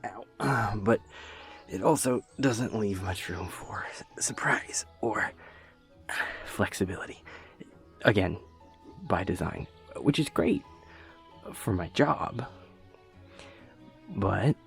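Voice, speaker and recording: A man's voice: fairly low pitch, expressive, slow pace, gravelly, long pauses, a bit bright, soft background music, full character voice. A young man playing a dorky, earnest boyfriend in an intimate audio roleplay, rambling hesitantly and nerdily with playful self-deprecation. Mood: earnest, fond. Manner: hesitant, rambling, nerdy explaining.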